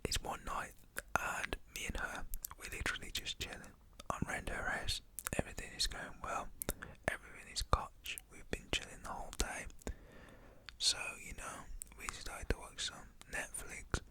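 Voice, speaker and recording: Whispering man telling a story. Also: moderate pace. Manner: casual, slangy, whispering throughout.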